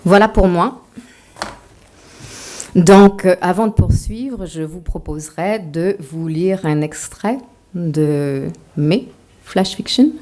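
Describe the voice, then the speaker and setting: Dark-voiced woman giving a playful lecture. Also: fairly low pitch. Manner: playful, self-mocking asides. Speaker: author giving a talk. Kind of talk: lecture with humorous asides. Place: lecture hall with audience.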